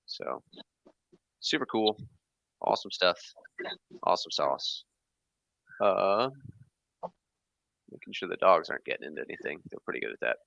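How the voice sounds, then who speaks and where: A very deep-voiced man hosts a casual livestream, upbeat and full of easy praise. His voice is gravelly yet bright, close and dry, on a dull, lo-fi recording. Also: big pitch swings, normal speed, pauses often, no room sound. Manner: upbeat, says awesome a lot. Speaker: host. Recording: dull lo-fi sound.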